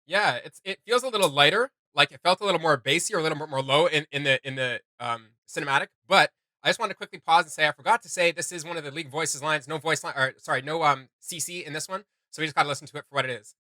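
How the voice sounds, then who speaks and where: A man's voice: on the high side, fast talker, few pauses, pushed and bright, dry recording. A man co-hosting a casual reaction video, rambling enthusiastically and often searching for his words. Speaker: co-host of a reaction channel.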